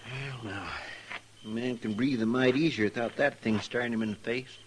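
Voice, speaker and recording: A man's voice: medium pitch, steady tone, moderate pace, lightly acted. Nervous, deferential sidekick deputy, male actor, hesitant, old radio with heavy noise. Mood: flustered. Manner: drawling, hesitant, folksy. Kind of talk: 1950s radio western acting. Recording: noisy old radio recording.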